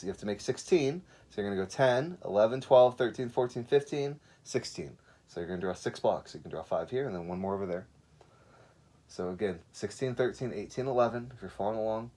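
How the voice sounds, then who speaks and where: A male teacher reads and explains for young children. His pitch is very animated, and he gives simple instructions with casual asides, repeating them as he goes. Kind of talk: read-aloud and lesson for young children.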